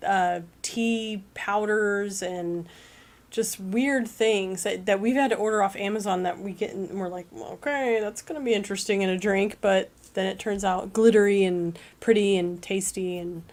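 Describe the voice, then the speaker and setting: A woman's voice: medium pitch, normal speed, darkish tone. A woman co-hosting a livestream, chatting upbeat and reading out viewer comments. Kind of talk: casual chat.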